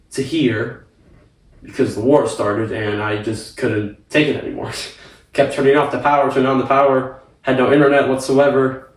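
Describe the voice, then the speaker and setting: A young man answering viewer questions in a casual vlog, rambling and repeating himself with casual swearing. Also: mid-range voice. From United States. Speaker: fitness vlogger. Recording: echoey room, mic at a distance.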